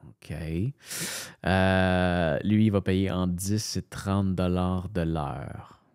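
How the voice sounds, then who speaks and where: A man's voice: low voice, drawn-out words, a little mellow, clear, resonant tone, no echo, studio quality. A man with a Canadian French accent, a voice-over narrator, chatting casually and rambling with many fillers and restarts as he thinks aloud. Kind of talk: casual screen-share commentary.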